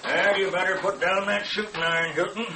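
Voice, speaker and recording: Sheriff played by a man: Western American accent, firm, higher-set voice, vintage radio cowboy drama. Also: steady tone, fairly quick, noisy background, close to an everyday voice. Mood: stern. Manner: folksy, firm. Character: blunt, commanding Old West sheriff. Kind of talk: old-time radio western acting.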